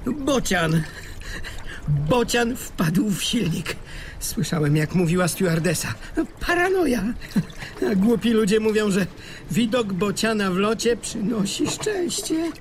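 A man's voice: high voice, sing-song, slow, a little hiss or hum, exaggerated voice. A man playing a pedantic, touchy plane-crash survivor bickering while adrift at sea in a radio play. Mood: agitated. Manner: bickering, exclamatory, correcting. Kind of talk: Polish radio play dialogue. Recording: loud splashing water sound effects behind. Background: sea water sounds.

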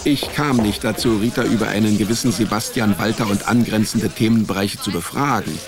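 Male actor as down-on-his-luck detective narrator, laconic, sardonic, creaky low voice, radio drama, music behind. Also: fairly quick, lightly acted. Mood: dry, brooding. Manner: laconic, deadpan. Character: wry, down-on-his-luck hard-boiled male private detective. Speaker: narrator and lead character. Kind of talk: first-person noir radio drama narration.

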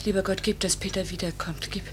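An actress playing a pilot's anguished wife in an old radio drama, pleading earnestly with quiet bitterness. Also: female voice, medium pitch, fairly even intonation, natural voice. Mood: praying. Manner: earnest, repetitive, measured phrases. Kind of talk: 1950s German radio play acting. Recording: faintly noisy vintage radio recording.

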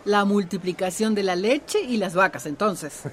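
An actress playing a wry, plain-spoken village neighbor in a radio drama, with an expressive, teasing manner. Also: female voice, medium pitch, moderate pace, natural voice.

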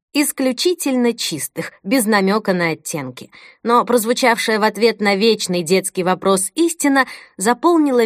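A woman narrating an audiobook in a measured, deliberate, unhurried manner. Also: medium pitch, no echo, studio quality. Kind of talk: audiobook reading.

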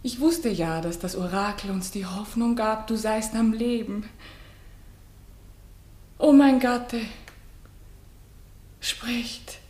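A gentle, reverent queen declaims radio-drama verse with feeling. Her voice is fairly high yet mellow and very unhurried, with a roomy sound around it. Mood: moved wonder. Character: gentle queen and mother returned to life. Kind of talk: classic German radio drama acting in verse.

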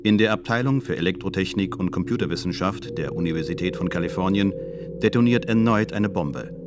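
A man's voice: low-pitched, brisk. A man reading scripted documentary narration in a factual, sober manner. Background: music.

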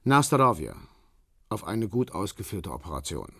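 An actor playing a shrewd, businesslike Russian man in a radio crime drama, speaking in a formal, measured way like someone giving a briefing. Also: lowish voice, sing-song, a bit bright, slightly rough, no echo, studio quality, exaggerated voice. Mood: hospitable, brisk.

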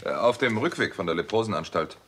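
Deep-voiced, sober doctor. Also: male voice, expressive, fast, fairly bright tone, breathy edge. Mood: wry, defensive. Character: sober, wry male doctor, narrator of a detective story. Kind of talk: 1960s German radio drama acting and first-person narration.

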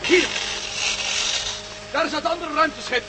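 An actor playing a bold adventurer in a radio play who takes charge of every decision. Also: male voice, very high voice, measured pace. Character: bold adventurer hero Matt Meldon. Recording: noisy old radio play recording.

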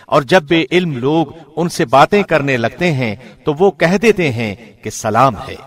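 Solemn man reading scripture, slightly high pitch. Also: expressive. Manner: formal, solemn, measured phrasing. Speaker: translation reader, radio host.

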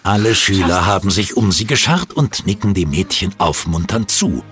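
A man narrating a children's audio drama in an expressive, suspenseful storytelling style. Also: deep voice, moderate pace, faint music behind.

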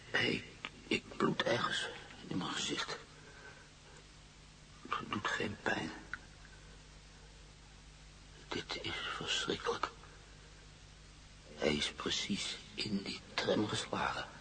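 An actor playing a troubled male patient in a radio play, recalling frightening memories in halting, brooding fragments. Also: low-pitched, vocal fry, heavily acted, low-quality recording. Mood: shaken. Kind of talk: Dutch-language radio play acting.

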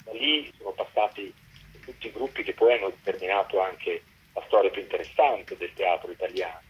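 A man's voice: sits low, fairly quick, husky. A man explaining his documentary work at length in a radio interview, in long, articulate sentences. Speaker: documentary co-director being interviewed. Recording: dull, lo-fi phone-like line.

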